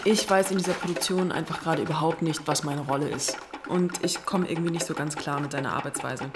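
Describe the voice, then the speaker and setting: An actress playing a frustrated, stressed colleague who complains earnestly and hesitantly in naturalistic radio drama dialogue. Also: female voice, sits low, fairly even intonation, fast talker, background noise, lightly acted.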